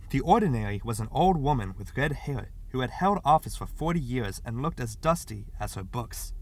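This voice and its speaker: A man reads a story aloud in a mid-pitched voice. The narration is scripted, in audiobook style. The sound is dry, with hardly any room in it. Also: no echo.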